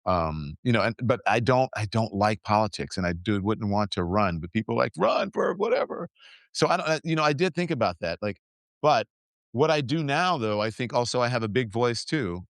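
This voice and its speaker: A man's voice: mid-range voice, expressive, fairly quick, no room sound. A man hosting his own show, talking earnestly and reflectively in casual conversation, with many fillers and restarts. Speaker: podcast host.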